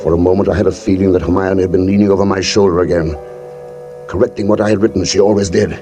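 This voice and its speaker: An actor playing a middle-aged professor, urbane and measured, sly beneath a genial surface, in 1940s radio drama style. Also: male voice, sits low, flat delivery, natural voice. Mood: brooding, measured. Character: sly, outwardly genial professor hiding a wife's murder. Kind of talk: 1940s radio drama acting with first-person narration. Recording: vintage radio recording, faint music.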